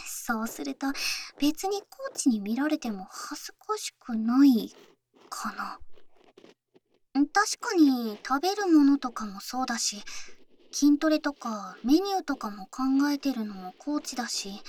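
A woman's voice: high-pitched, a little hiss or hum, exaggerated voice. A woman playing a young, shy and affectionate athletic girlfriend in an intimate ASMR voice drama, murmuring hesitantly and slipping into whispers, close to the microphone. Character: bashful, affectionate young athletic girlfriend pampering her coach boyfriend. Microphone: close microphone, ASMR style.

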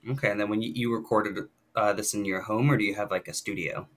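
A man's voice: lowish voice, fairly even intonation, fast talker, fairly bright tone. A man hosting a casual interview, friendly and encouraging, with frequent hesitations. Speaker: interview host.

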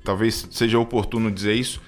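A man's voice: lowish voice, flat delivery, quick pace. Earnest hesitant man in his thirties. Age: 30s. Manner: hesitant, many restarts and fillers, earnest. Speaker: radio program co-host.

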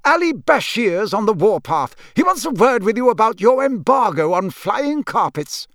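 An elderly, buttoned-up wizard bureaucrat from a storybook, voiced by a man. He sits high above a normal male register and speaks in a dry, formal, impatient clip. The sound is close, with no room on it. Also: sing-song, a bit bright, no echo. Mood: brisk, formal. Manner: brisk, formal, curt. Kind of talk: audiobook character voice.

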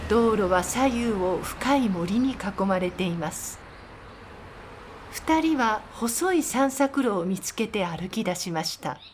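A woman's voice: medium pitch, animated, takes it slowly. A woman reading scripted narration as the narrator of a radio drama. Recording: clear background noise and ambience.

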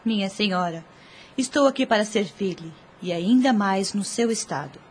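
A humble maidservant with a breathy, slightly rough voice and a Brazilian accent. She is deferential and eager to serve, in formal radio soap style. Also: medium pitch, expressive, normal speed. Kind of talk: radio soap opera acting.

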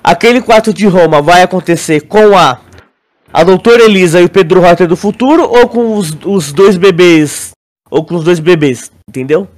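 A Brazilian man with a notably high voice talks as a podcast co-host. He explains things haltingly, doubling back on words and regularly asking for agreement in a casual, conversational way. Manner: halting, repeats words, often checks listener agrees. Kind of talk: casual podcast discussion, theorizing.

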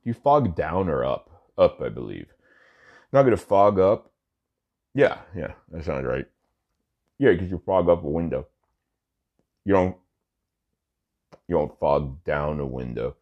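A man's voice: rumbling low, slow pace, long pauses, highs cut off. A man hosting his own daily podcast, talking casually. He rambles with many fillers and self-corrections, and he sounds stuffy from allergies. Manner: relaxed, smoking while talking. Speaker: podcast host. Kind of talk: casual solo podcast talk.

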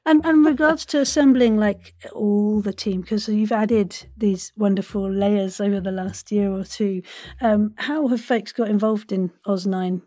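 A woman's voice: mid-range voice, a little slow, warm tone, no room sound. A woman hosting a casual interview in a chatty, enthusiastic and playful way. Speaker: podcast host and voice actor.

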